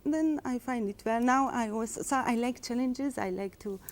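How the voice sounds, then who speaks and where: A woman's voice: fairly high pitch, moderate pace. A woman, a spa owner who is a guest on a radio interview, giving short, giggly answers in English. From Hungary. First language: Hungarian.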